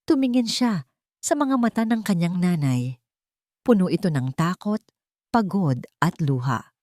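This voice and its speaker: Woman, low-pitched voice, dramatic story narration, very dry close sound. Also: a little mellow, dry recording.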